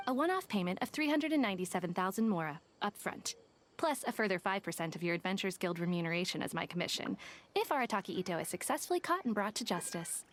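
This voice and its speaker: A woman voicing a shrewd, businesslike young detective who tells an old fairy tale in a smooth, knowing way. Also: medium pitch, sing-song, fast, natural voice. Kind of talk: game voice acting. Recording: game audio with clear music.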